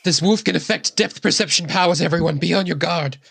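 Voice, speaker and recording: A woman's voice: lowish voice, brisk, vocal fry, a bit bright, slightly rough. A woman talking casually as a player in a tabletop game, dry and joking. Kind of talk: casual improvised game chat.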